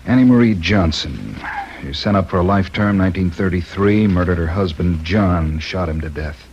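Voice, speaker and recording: Actor as low-voiced, matter-of-fact parole officer. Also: male voice, moderate pace, a little mellow, natural voice. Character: male state parole officer, plain-spoken, methodical. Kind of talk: 1950s radio drama acting. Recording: dull, faintly noisy old radio recording.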